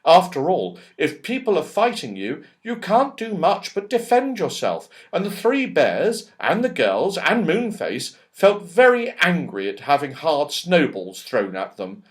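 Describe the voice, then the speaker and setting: A man reads a children's audiobook in a fairly high voice. His delivery is very animated and unhurried, with dramatic emphasis and a storyteller's phrasing. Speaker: audiobook narrator. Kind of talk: expressive scripted children's story narration.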